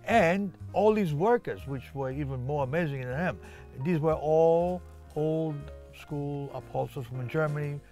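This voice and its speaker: Male shop owner, sixties or older, casual and reflective, halting answers, faint music behind. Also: fairly high pitch, a little mellow. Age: 60s or older. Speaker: shop owner, master upholsterer. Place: small cluttered indoor office.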